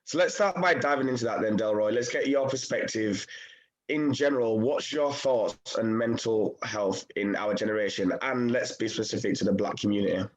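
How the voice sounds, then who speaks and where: A man's voice: fairly low pitch, moderate pace, highs cut off. A man hosting a panel discussion over a video call, upbeat, friendly and open, with a radio presenter's ease.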